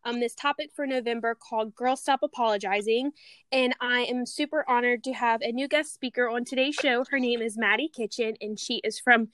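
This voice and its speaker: A woman's voice: fairly high pitch, steady tone, a little fast, no echo. A woman from Louisiana, hosting a podcast in a bubbly, gushing, casual chat. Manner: bubbly, gushing, warm enthusiasm. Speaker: podcast host.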